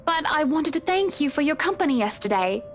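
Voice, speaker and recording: A woman's voice: sits high, very animated, brisk. A woman voicing a genteel, affectionate and wry lady of a mansion in a visual novel, with a gracious, proper manner. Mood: gracious, warm.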